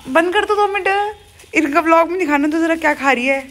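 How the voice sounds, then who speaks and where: A woman's voice: very high voice, fairly quick, a bit bright. A woman, chatting casually and playfully to her vlog viewers on a phone. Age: 20s. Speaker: vlogger. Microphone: phone at arm's length. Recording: noisy handheld vlog with traffic and ambience.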